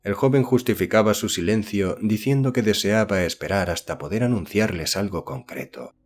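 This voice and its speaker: A man reading a horror novel as an audiobook narrator, in a measured, formal storytelling manner. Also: lowish voice, a little mellow.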